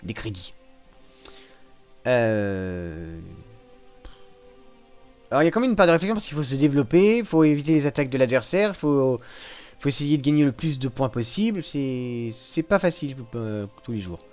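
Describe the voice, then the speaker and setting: A man's voice: mid-range voice, very animated, moderate pace, long pauses, darkish tone, clear, resonant tone. Guy explaining a board game video game, lots of ums, bit of music under it. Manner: self-mocking, hesitant with frequent fillers. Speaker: gaming channel host. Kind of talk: casual gameplay walkthrough.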